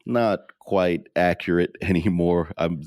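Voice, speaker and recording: A man with a very deep voice hosts a casual podcast discussion. He speaks conversationally, and the recording is dry with hardly any room sound. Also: steady tone, slow, a little mellow, dry recording. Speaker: podcast host.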